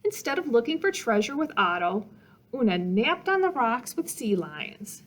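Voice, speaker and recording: A woman's voice: a little high, big pitch swings, normal speed. A woman reading a children's picture book aloud as a storytime reader, with dramatic emphasis meant to engage young listeners.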